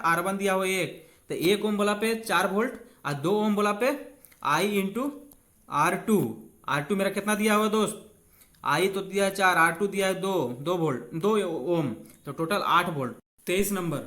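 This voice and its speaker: A man's voice: high-pitched, a bit bright. A man teaching exam physics step by step, informally addressing students as friends, repeating key phrases and mixing in English technical terms. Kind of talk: exam-prep lesson, reading questions and explaining them step by step.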